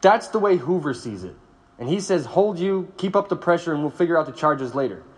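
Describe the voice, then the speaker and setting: An actor playing a cool, probing government interrogator. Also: male voice, a little high, animated, a little fast, close to an everyday voice. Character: cold male government interrogator. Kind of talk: audio drama acting.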